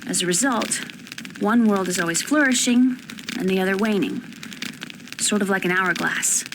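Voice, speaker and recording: A woman's voice: medium pitch, normal speed, lightly acted. A woman voicing an earnest young female summoner from another world in a video game, explaining things with conviction. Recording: noisy game ambience behind voice.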